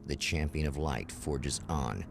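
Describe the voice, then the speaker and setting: Eerie anthology-show narrator: bottomless gravelly bass, portentous, deliberate, theatrical, noisy background. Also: male voice, exaggerated voice. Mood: grave, ominous. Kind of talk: dramatic scripted narration in 1960s mystery-TV manner.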